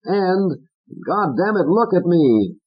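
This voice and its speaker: A manic, foul-mouthed ventriloquist dummy rants in a shrill, high voice. The voice leaps up and down as he sneers and bullies. It sounds slightly husky on a dull, lo-fi recording. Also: very animated, takes it slowly, exaggerated voice. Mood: ranting. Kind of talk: audiobook character voice.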